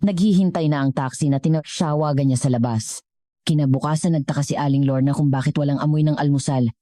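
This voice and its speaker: A woman reading scripted dramatic story narration in a measured way, as the narrator of an audio drama. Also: low-pitched, fairly even intonation, warm tone, dry recording, clean studio sound.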